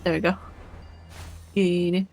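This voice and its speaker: A woman's voice: on the low side, little pitch movement, slow pace, creaky, a little mellow, a little hiss or hum. A woman chatting casually as a co-op gaming partner, helpful and reassuring in manner. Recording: game music behind. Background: video game music.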